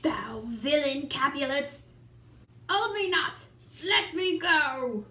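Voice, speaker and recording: Woman acting out a furious old lord, high and echoey. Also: takes it slowly, sharp tone, heavily acted. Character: hot-headed old lord Montague, plush toy puppet. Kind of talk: amateur Shakespeare puppet show. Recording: roomy, echoing home recording.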